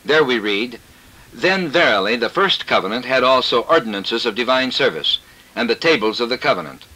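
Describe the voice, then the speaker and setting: A male preacher with a bright, forceful voice at an average pitch delivers a scripted scriptural sermon. His phrasing is formal and measured, each statement set out declaratively. It sounds like an old cassette tape recording. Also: expressive, rough recording.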